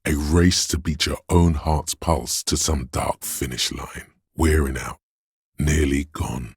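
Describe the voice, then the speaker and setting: A man voicing a grim, goading inner voice of pain and endurance in a video game, narrating with slow, weighty, intense delivery and a husky, gravelly tone. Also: very deep voice, no room sound, heavily acted. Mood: grim, brooding.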